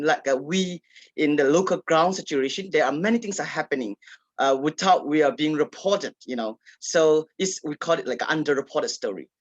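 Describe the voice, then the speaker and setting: A man's voice: fairly high pitch, no echo. Man, dry close sound. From Kachin State, Myanmar. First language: Kachin. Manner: halting, many fillers and restarts. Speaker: local journalist, panelist. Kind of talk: panel discussion answer.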